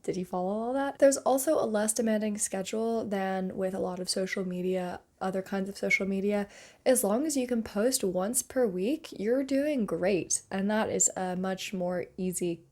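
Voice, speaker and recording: A woman with a blocked, stuffy voice from a heavy cold talks to camera in a casual vlog. She keeps it chatty and upbeat and drops in fillers as she explains. Her pitch sits in a middle range. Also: normal speed, a little mellow. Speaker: YouTuber.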